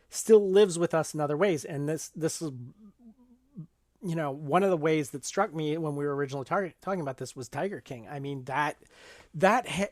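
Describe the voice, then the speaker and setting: A man co-hosting a casual film discussion podcast, explaining things in a halting way. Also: on the high side, no room sound, clean studio sound. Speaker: podcast co-host.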